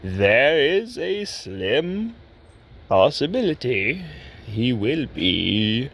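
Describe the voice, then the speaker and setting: A man's voice: medium pitch, very animated, very slow, gravelly, a bit bright, husky, pitched above the performer's own voice, exaggerated voice. A man voicing a middle-aged asylum doctor, formal, self-important and ominously courteous, in an amateur horror story with a noisy recording. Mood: measured, ominous.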